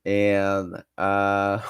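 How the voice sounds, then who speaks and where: Man, 30s, low-ish voice, halting learner's English, encouraging vlog tone. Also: monotone, clear, resonant tone, no echo, studio quality. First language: Portuguese. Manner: hesitant, many fillers and self-corrections, encouraging. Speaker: vlogger sharing language tips. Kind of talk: casual unscripted talk to camera.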